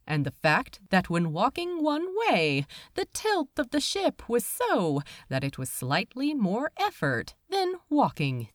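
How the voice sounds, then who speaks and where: Woman, audiobook narrator, slow pace, animated intonation, dramatic storytelling, scripted fiction. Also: fairly low pitch, dry recording, studio quality.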